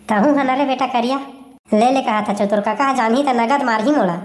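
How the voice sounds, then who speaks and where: A woman's voice: fairly high pitch, fairly quick, reverberant, a little hiss or hum, lightly acted. Casting: woman for complaining rural housewife, raised female voice, wary colloquial manner, Chhattisgarhi accent, comic cartoon. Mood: wary, reluctant. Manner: grumbling, colloquial.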